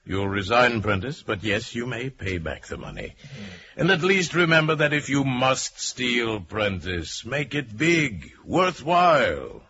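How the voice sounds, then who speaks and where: An actor playing a stern, sly middle-aged manager in a radio drama, pompous and condescending. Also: male voice, mid-range voice, very animated, a little slow, bright, forceful tone, heavily acted. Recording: dull vintage radio recording.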